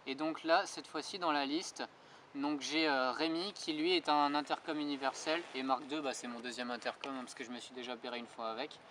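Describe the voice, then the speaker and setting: A man's voice: medium pitch, fairly even intonation, a little fast, fairly bright tone. A man giving an informal motorcycling tutorial outdoors, speaking colloquially and poking fun at himself. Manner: self-deprecating, joking, colloquial. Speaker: motorcycling vlogger presenting a tutorial. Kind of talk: informal spoken tutorial with casual banter. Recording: noisy outdoor recording with vehicle sounds.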